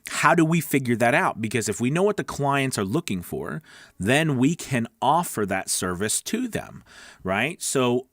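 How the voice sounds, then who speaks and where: A man's voice: mid-range voice, very animated, measured pace, fairly bright tone, dry recording, studio quality. A man hosting a live-streamed coaching show for new voice actors, talking in a chatty, rambling way with lots of fillers. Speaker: host.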